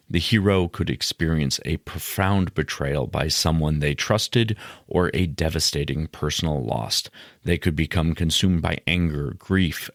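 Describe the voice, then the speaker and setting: A man hosting a podcast, giving scripted explanations in a measured, instructional way. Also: extremely low pitch, gravelly, dry recording, studio quality. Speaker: podcast host.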